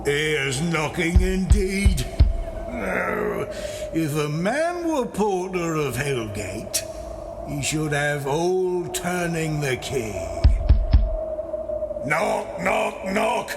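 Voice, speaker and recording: An actor playing a drunken, bawdy, grumbling castle porter in a theatrical Shakespearean audiobook performance, exclaiming and rambling. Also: male voice, on the high side, sing-song, drawn-out words, over music, full character voice. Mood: mocking, bellowing. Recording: clear background ambient noise.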